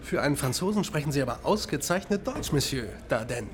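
An actor playing a wry undercover officer posing as a hotel receptionist in a crime radio drama, polite and matter-of-fact. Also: male voice, on the high side, animated, a little fast, lightly acted. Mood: polite, formal. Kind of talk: naturalistic German crime radio drama acting. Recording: clear background noise.

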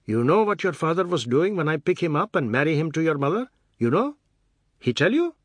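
A man narrating puts on a middle-aged bully of an uncle from a storybook. His voice rides high and swings widely, husky and sneering. He boasts and taunts in colloquial grammar, all jovial menace. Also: sing-song, a little fast, no echo. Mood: boastful, bitter. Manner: non-standard colloquial grammar, taunting rhetorical questions.

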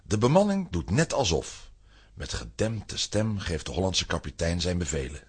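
Deep, husky, dramatic male storyteller. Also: big pitch swings, moderate pace, darkish tone. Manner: suspenseful, theatrical. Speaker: narrator. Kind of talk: dramatic scripted storytelling for children's audio drama.

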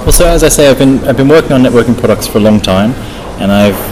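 A man's voice: medium pitch, expressive, fast talker, poor audio. A man giving technical interview answers as a guest, often repeating and rephrasing as he explains. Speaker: interview guest, open source project founder. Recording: busy crowd chatter behind the voice. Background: crowd of people talking.

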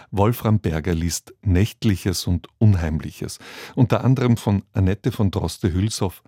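A man's voice: sits low, mellow, no echo, studio quality. A man reading a scripted programme announcement as a radio announcer.

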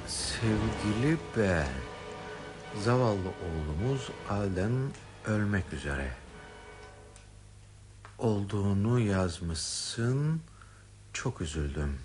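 A dignified, troubled gentleman of a radio drama, low and slow in speech. He delivers his lines emotionally with weighty pauses, over audible music. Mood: shocked grief. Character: stricken, dignified gentleman of a wealthy household, a father. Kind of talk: Turkish radio theatre acting.

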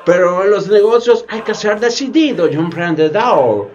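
A greedy, hypocritical sea captain. The voice is high and swoops up and down, taking its time with stammers and theatrical flourishes. It is a comic radio-play villain over music. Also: male voice, animated, moderate pace, a little mellow, dull audio. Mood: self-satisfied. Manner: theatrical, stammering, peppers speech with English words. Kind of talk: community radio theatre acting. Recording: music bed behind the voice.

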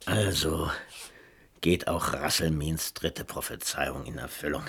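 An actor playing an excitable old Dakota grandfather who exclaims and urges in a German radio play. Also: rumbling low, unhurried, vocal fry, husky, exaggerated voice. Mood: confident, knowing. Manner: animated, exclaiming.

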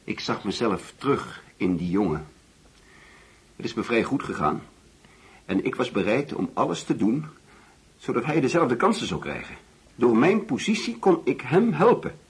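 A man's voice: mid-range voice, big pitch swings, moderate pace, pauses often, husky, exaggerated voice. An actor playing a bitter, disillusioned middle-aged father in a Dutch radio play, blunt and sceptical. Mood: regretful.

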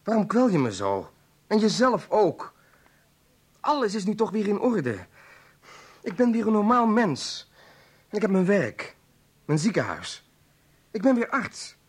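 An actor playing a tense, weary hospital doctor in a radio drama, brooding and defensive, with a husky voice. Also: male voice, high-pitched, huge pitch swings, measured pace, pauses often, exaggerated voice. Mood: agitated pleading. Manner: brooding, pleading, easily agitated. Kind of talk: radio play acting.

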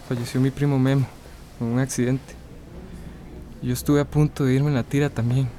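An actor with a Costa Rican accent playing a remorseful young recovering addict in a radio drama, speaking hesitantly and confessionally. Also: male voice, medium pitch, a little mellow, a little hiss or hum, close to an everyday voice. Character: remorseful young male recovering addict, self-doubting but resolute. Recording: music under the voice.

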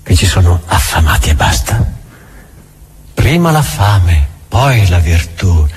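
An imperious old churchman acted by a man delivers a scornful stage monologue in a fairly low voice. He leans on dramatic pauses and pointed questions, with music clearly playing underneath. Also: a little mellow, lightly acted. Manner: theatrical, dramatic pauses, insistent rhetorical questions.

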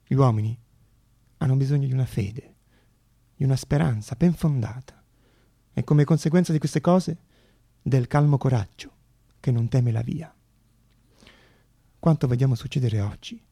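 Male theatre monologist: husky, very creaky voice, dramatic storytelling, deliberate pauses. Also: mid-range voice, mellow. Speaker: stage actor and storyteller.

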